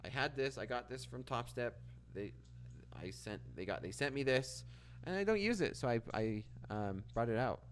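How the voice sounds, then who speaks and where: A man's voice: mid-range voice, animated, normal speed, slight background noise. A Canadian man hosting a live trading stream, chatting casually with viewers and reading their comments aloud, with frequent restarts. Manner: reads chat aloud and answers, with frequent restarts. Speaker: livestream trading host.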